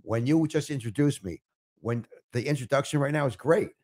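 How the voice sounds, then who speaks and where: A man's voice: mid-range voice, normal speed, a little mellow. An older man, a guest on a podcast, telling stories in casual chat and chuckling often. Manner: chuckling, storytelling.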